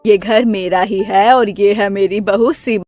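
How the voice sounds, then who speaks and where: A woman's voice: medium pitch, fairly even intonation, unhurried, a little mellow, soft background music, voice pushed down, close to an everyday voice. A woman voicing a grumpy, stingy older mother-in-law, bossy and suspicious, in a storybook tale. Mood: guarded. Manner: bossy, scolding.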